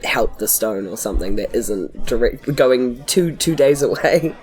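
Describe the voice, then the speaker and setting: A man with a New Zealand accent banters as a tabletop game player. He takes his time, with animated intonation, while other voices chatter behind him. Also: mid-range voice, measured pace. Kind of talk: casual banter.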